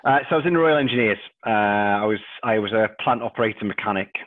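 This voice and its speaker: A man in his thirties with a Liverpool accent, a podcast guest who talks haltingly and repeats words and fillers. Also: lowish voice, dry recording. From England. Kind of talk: casual interview chat. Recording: dry tinny phone-like line.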